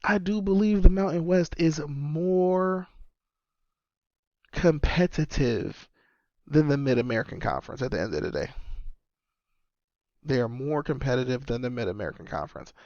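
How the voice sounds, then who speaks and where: A man's voice: on the high side, animated, normal speed, pauses often, a little mellow, no room sound, highs cut off. A man in his thirties hosting a casual solo sports podcast, talking emphatically and repeating his points for stress. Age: 30s. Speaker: podcast host and sports fan.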